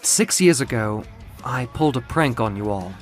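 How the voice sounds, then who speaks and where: A man's voice: medium pitch, expressive, moderate pace, faint music behind, natural voice. Troubled male muse for audio comic , acted by a narrator, a man: hesitant, sincere, confessional public address. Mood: uncertain, confessing. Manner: hesitant, earnest. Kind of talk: audio comic book drama.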